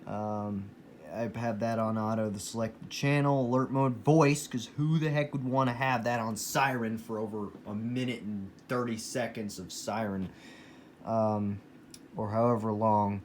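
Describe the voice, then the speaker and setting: A man from the United States giving a casual, enthusiastic show-and-tell as a hobby collector, talking in halting phrases with emphatic bursts. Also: on the low side, fairly even intonation. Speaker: hobbyist collector presenting his weather radios. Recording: noisy room, clear background noise.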